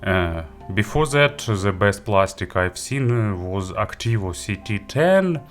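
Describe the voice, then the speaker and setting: A man giving a casual gadget review and unboxing, halting and hesitant as he thinks aloud. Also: lowish voice, animated, soft background music. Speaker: audio gear reviewer.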